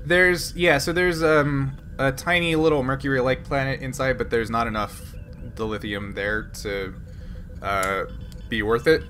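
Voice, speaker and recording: A man's voice: medium pitch, steady tone, a little slow, sharp tone, slight background noise. A man running a tabletop game as game master, describing scenes in a loose, rambling way. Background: background music.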